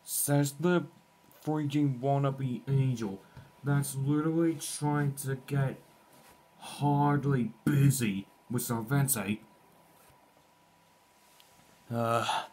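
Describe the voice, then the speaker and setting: A man's voice: medium pitch, steady tone, takes it slowly, darkish tone, close to an everyday voice. A man voicing a jaded, sarcastic young rival in fan game voice lines, exasperated and dismissive, full of slang. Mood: weary.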